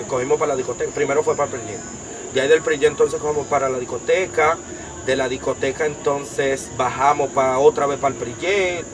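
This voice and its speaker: A man's voice: a little high, background noise. Young Dominican man giving emphatic testimony. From Dominican Republic. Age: young adult. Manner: emphatic, rapid-fire storytelling in long lists. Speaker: interview guest. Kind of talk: personal testimony in conversation.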